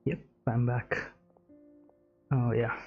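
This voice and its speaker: A woman's voice: a bass voice, flat delivery, takes it slowly, slightly rough. A woman giving casual playthrough commentary as she reacts to a game's story. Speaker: gamer reacting to game story. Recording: dull lo-fi mic over game music.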